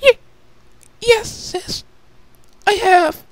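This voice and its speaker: This is a tiny, timid girl creature from a fantasy story, put on by a man. The voice is extremely high and squeaky, far from the narrator's own. It stammers and whimpers, always innocent and pleading. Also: extremely slow, no echo, full character voice. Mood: sad, meek.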